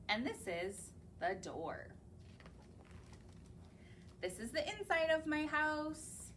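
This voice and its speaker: A woman's voice: fairly high pitch, fairly bright tone, a little hiss or hum. A young woman, a children's librarian, reading picture books aloud in a library in a sing-song way and asking the children questions. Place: public library.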